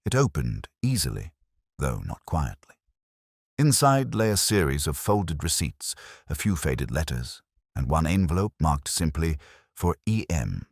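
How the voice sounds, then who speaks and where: An extremely deep voice in a dry, close recording, belonging to a man acting a world-weary detective. He narrates his case like a radio drama, solemn and measured. His intonation rises and falls expressively while the temper stays reflective. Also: very animated, dry recording, full character voice. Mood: somber, reflective. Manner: measured, solemn, literary phrasing. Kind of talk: scripted first-person detective audio drama narration.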